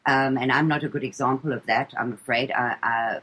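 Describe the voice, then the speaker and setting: Low husky woman, English-leaning South African accent. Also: steady tone, fairly quick, fairly bright tone. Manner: earnest, hesitant with frequent ums and repeated words. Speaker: radio show guest. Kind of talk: casual radio interview chat.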